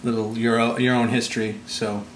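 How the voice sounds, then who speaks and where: A man's voice: mid-range voice, little pitch movement. Man interviewer in an echoey, noisy room. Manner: rambling, halting phrasing. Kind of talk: casual conversational interview. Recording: roomy echo, background noise.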